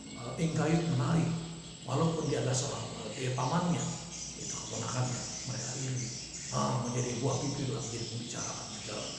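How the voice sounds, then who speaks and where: A man telling a folk legend in a halting, repetitive oral storytelling manner. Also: on the high side, gravelly. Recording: echoey room with loud background noise.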